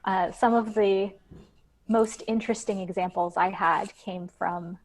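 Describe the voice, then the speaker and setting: A woman's voice: medium pitch, steady tone, measured pace, low-quality recording. A woman guest on a podcast interview, explaining her research in a thoughtful, conversational way with frequent fillers.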